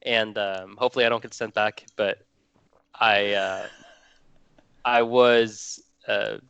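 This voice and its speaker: Bright, crisp young man chatting casually. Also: lowish voice, fairly even intonation, slow pace, no echo, lo-fi sound. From Norway. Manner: rambling, restarts phrases often. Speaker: guest hobbyist. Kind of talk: casual chat.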